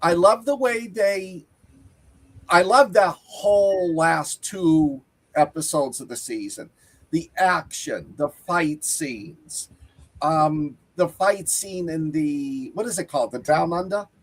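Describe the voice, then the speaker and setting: Husky Boston-accented podcaster. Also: mid-range voice, slow pace. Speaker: podcast co-host, teacher. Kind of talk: casual movie review chat.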